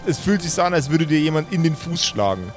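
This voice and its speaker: A man's voice: on the high side, lively intonation, quick pace, over music. A man, casually improvising narration as game master of a tabletop role-playing game.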